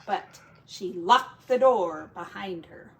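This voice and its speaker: A woman's voice: medium pitch, expressive, slow pace, slight background noise. A woman who teaches, reading a picture book aloud to children expressively, drawing out key words for drama and adding casual asides.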